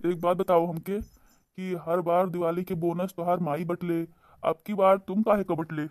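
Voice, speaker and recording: A man voicing a mild, henpecked middle-aged father in a cartoon, gentle and resigned, with an eastern Hindi-belt accent. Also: sits high, flat delivery, normal speed, no room sound, close to an everyday voice. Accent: eastern Hindi belt (Awadhi-Bhojpuri). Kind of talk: amateur cartoon voice acting.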